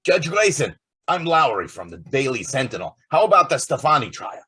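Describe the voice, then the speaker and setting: A man's voice: mid-range voice, very animated, moderate pace, sharp tone, slightly rough, exaggerated voice. A man playing a brash, wisecracking newspaper reporter in old-time radio drama style, slangy and pushy. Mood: brash, persistent.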